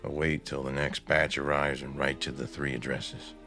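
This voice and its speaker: An American actor playing a stern, practical, middle-aged husband, blunt and insistent, in a radio drama. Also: male voice, extremely low pitch, level tone, normal speed, pushed and bright, faint music behind, full character voice. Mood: matter-of-fact. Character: stern, practical, impatient small-town husband.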